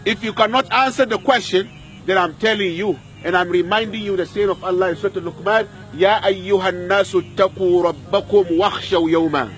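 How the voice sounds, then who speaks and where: A man's voice: sits high, normal speed, fairly bright tone, soft background music, poor audio. A man preaching a sermon at a graveyard, repeating lines and putting questions to his listeners, with Arabic verses in between. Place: outdoor graveyard.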